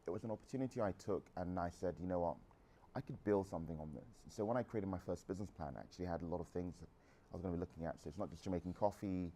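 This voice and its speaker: A man's voice: low voice, very fast, dark tone, slight background noise. A man in his thirties from England, a guest entrepreneur answering audience questions in a frank, rambling way with restarts. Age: 30s.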